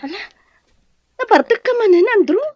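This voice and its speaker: A woman's voice: very high-pitched, expressive, normal speed, dry recording, muffled recording. A woman with an Ilocano accent playing a long-suffering wife who scolds her drunkard husband in an audio drama. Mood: exasperated.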